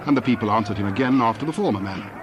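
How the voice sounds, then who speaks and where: A man's voice: lowish voice, rapid-fire. A man reading scripted scripture narration as the narrator of a dramatization. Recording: noisy background ambience.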